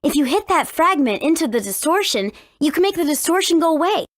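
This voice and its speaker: A woman's voice: high voice, sing-song, fairly quick, dry recording, studio quality. A woman voicing a spirited teenage girl guide in a video game, confident and explanatory. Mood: confident, instructive. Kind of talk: English game dub, tutorial dialogue.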